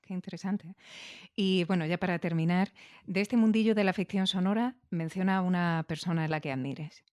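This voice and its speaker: A woman's voice: fairly low pitch, fairly quick, a little mellow, dry recording, studio quality. A woman interviewing conversationally, thinking aloud and checking in with short tag questions. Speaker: interviewer, host.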